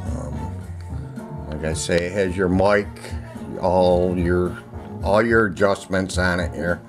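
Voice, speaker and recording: A man giving a casual, unscripted product demonstration in a plainspoken, halting way from behind a handheld camera indoors. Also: low voice. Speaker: online seller demonstrating an item. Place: indoors, room in a home. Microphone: handheld camera, speaker off screen behind it. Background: music playing from audio equipment.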